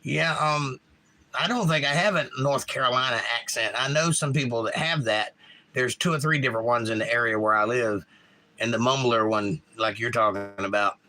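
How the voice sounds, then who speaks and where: A man's voice: mid-range voice, moderate pace, sharp tone, rough recording. A man with a Georgia accent hosting a casual live stream, rambling and reading chat comments aloud from a home setup.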